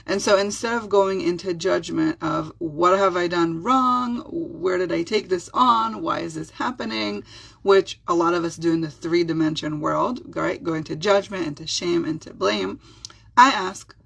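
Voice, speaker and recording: A middle-aged woman whose first language is Hebrew, speaking with an Israeli accent and giving a soft, soothing self-help talk as a spiritual healer. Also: on the low side, normal speed, gravelly, breathy edge.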